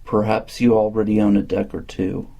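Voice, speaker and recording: A man with a deep-set, fairly dark voice reads a guided meditation in a calm, measured way. His delivery stays very even and soothing, with a husky, gravelly grain to it. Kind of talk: slow scripted guided meditation narration.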